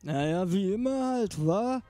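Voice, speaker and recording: An actor playing a drunken, boorish grown son in a parody audio drama, rambling and crude with bursts of pomp. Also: male voice, sits high, lively intonation, a little slow, dark tone, no echo, faint music behind. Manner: crude, rambling, stumbling over words. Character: drunken, boorish grown son, slurring, sometimes pompously poetic.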